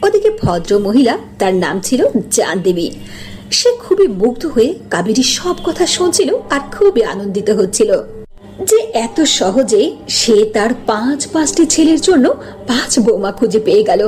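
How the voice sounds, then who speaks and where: A woman's voice: fairly high pitch, big pitch swings, moderate pace, darkish tone. A woman narrator reading a scripted cartoon story.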